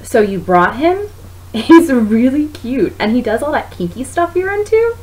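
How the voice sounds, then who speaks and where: A woman's voice: high voice, very animated, unhurried, a little mellow, pitched above the performer's own voice. A woman voicing a nosy, teasing best friend who is a young mother, in a story reading. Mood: intrigued. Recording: noisy home recording.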